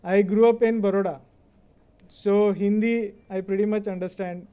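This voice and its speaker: An Indian man in middle age gives a convocation address in a relaxed, conversational way, often checking in with "right?". His voice sits fairly high for a man. It sounds mellow and smooth, and he speaks Indian English as a native Kannada speaker. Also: moderate pace. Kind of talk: motivational speech.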